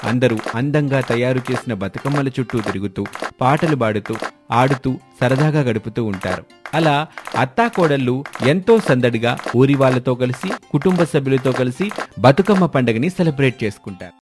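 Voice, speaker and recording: A man's voice: mid-range voice, animated, normal speed. A man reading a scripted story as its narrator, with music behind him.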